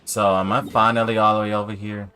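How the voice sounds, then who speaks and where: A man's voice: on the low side, little pitch movement, quick pace, sharp tone. A man doing casual, chatty live game commentary, reacting aloud to what happens. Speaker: game streamer. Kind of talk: casual live gameplay commentary, reading screen text. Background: game music.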